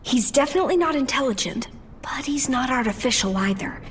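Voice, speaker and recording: An actress playing a confident, sharp-tongued ally in a video game, matter-of-fact and snarky. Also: female voice, sits high, animated, fairly quick, husky. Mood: briefing. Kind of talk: video game cutscene acting.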